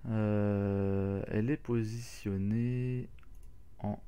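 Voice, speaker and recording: A man's voice: lowish voice, fairly even intonation, drawn-out words, mellow, clear, resonant tone. A man hosting a casual game walkthrough, talking hesitantly with many fillers and restarts. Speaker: gaming channel host.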